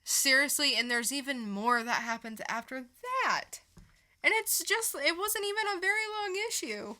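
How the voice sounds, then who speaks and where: A woman's voice: high voice, expressive, normal speed, bright, forceful tone. A woman co-hosting a podcast in a casual review-chat style. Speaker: podcast co-host.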